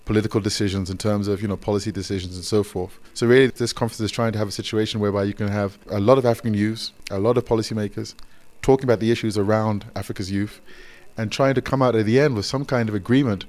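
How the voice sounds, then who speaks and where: A man's voice: on the low side, steady tone, fast, darkish tone. A man speaking as a communications officer, explaining off the cuff in an interview.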